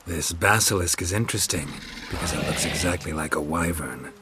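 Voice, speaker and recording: An actor playing a stoic, dry-witted monster hunter in a fantasy game, terse and deadpan. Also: male voice, low voice, a little fast, background noise, natural voice. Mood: dry, sardonic. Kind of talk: scripted fantasy game acting.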